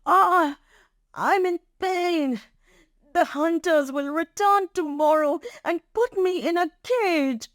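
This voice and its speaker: Storybook lion, male, proud and bossy, played by a woman, high-voiced and animated. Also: slow pace, dry recording, clean studio sound. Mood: pained, doubtful. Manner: scornful, commanding. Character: proud male lion, haughty, easily annoyed.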